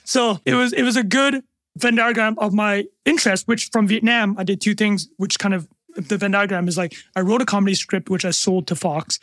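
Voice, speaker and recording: Canadian man in his thirties, podcast guest; high, fast, wisecracking delivery, recorded close and dry. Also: steady tone, a bit bright, no room sound. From North America. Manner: joking, self-deprecating, quick asides. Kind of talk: casual podcast interview.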